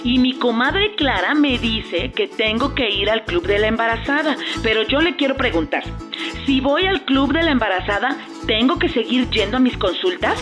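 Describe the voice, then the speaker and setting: A woman's voice: on the high side, expressive, moderate pace, over music, close to an everyday voice. A woman playing a polite, exclaiming pregnant caller asking a helpline a question in a radio drama. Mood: polite, concerned. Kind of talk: scripted health radio drama.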